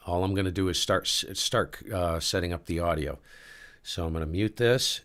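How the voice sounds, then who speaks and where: A man with a very low voice running a casual live ham radio demo, talking to himself as he adjusts settings. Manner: relaxed, talks to himself while adjusting gear. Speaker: ham radio livestream host.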